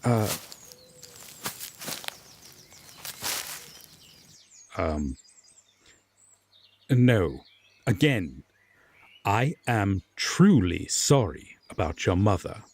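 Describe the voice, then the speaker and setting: Man acting as aloof Fae king, very slow, low-pitched, formal, stern but tender underneath, fantasy audio roleplay. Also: darkish tone, breathy edge, heavily acted. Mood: apologetic, guarded. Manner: measured, formal, deliberate phrasing with long pauses.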